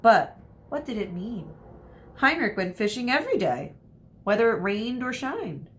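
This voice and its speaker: A woman teacher reading a storybook aloud expressively and deliberately, pausing to explain to her listeners. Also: big pitch swings, fairly bright tone. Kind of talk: storybook read-aloud with short asides. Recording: noisy with low rumble.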